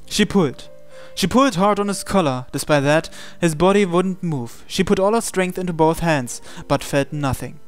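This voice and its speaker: A man reading scripted, dramatic story narration in a measured, solemn way. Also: fairly high pitch, clean tone. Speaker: narrator reading translated game text. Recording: music behind the voice. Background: music.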